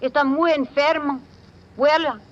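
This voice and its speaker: An actress playing a tough, tender, world-weary widow in an old-time radio drama, speaking broken English with a Spanish Latin American accent. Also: female voice, high-pitched, fairly even intonation, moderate pace, close to an everyday voice. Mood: agitated. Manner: plain-spoken, bossy yet melancholy, in broken English. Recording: dull, lo-fi vintage radio recording.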